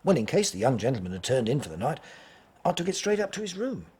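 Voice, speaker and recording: An actor playing a deferential, helpful working-class English hotel porter in an audio drama, speaking in a homely, colloquial way. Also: male voice, mid-range voice, big pitch swings, quick pace, a little mellow, slight background noise, close to an everyday voice. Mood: obliging.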